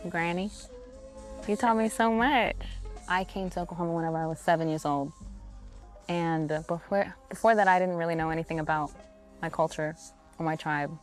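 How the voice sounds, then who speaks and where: A woman singer speaks reflectively about home while standing outdoors by a house, sometimes rushing ahead in quick bursts. Her voice is low. Faint music sits under her words. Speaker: interviewed singer. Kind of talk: casual interview answers.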